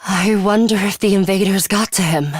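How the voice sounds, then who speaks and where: A woman's voice: mid-range voice, steady tone, dry recording, studio quality, lightly acted. Stern, composed young female fighter, acted by a woman. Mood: cool, analytical. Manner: measured, clipped, serious, matter-of-fact. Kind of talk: English video game voice acting.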